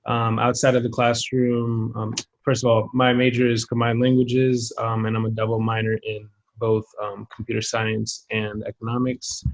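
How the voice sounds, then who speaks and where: A young man from Maryland and Haiti, introducing himself in a measured way with many "um"s as a student panelist on a video call. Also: medium pitch, monotone, rough recording. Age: young adult. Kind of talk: casual self-introduction.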